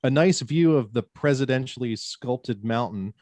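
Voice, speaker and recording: Male narrator, mid-pitched, reading screenplay scene descriptions in a close, dry recording. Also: normal speed, darkish tone, no room sound. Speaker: stage-direction narrator. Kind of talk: scripted narration at a table read.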